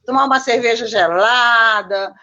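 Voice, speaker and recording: Chatty female Brazilian guest, high voice, casual storyteller full of tag questions, muffled lo-fi audio. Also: measured pace, pushed and bright. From born in Manaus, lived mostly in Minas Gerais, Brazil. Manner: chatty, constantly seeking agreement with tag questions. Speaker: guest, Copacabana resident.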